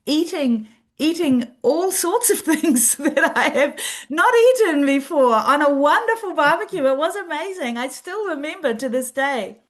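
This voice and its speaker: High-voiced New woman hosting. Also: lively intonation, unhurried, hardly any pauses. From New Zealand. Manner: encouraging, with short affirmations. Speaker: podcast host. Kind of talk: conversational podcast interview.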